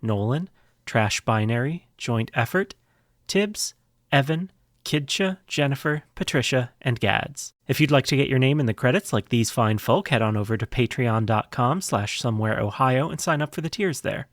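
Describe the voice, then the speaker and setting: A man delivers podcast credits and notes at an ordinary middle pitch. The recording is dry and close, and his delivery is informal and friendly, plainly scripted. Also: normal speed, dry recording. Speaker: podcast creator.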